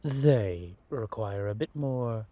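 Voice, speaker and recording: A man playing a centuries-old vampire spymaster in a roleplay audio drama, patient and wry, speaking in measured phrases with deliberate pauses. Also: on the low side, animated, warm tone, no room sound. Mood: sly, explaining.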